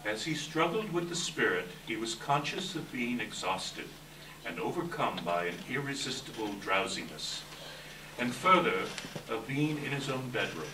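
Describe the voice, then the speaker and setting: A man narrating a story from a script in a deliberate, theatrical readers theater style. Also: mid-range voice, big pitch swings, bright, forceful tone. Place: indoor live event, distant microphone. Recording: echoey hall from far off, faint noise.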